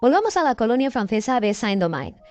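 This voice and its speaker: A woman's voice: on the high side, sing-song, fast, a little mellow. Woman narrating a news documentary.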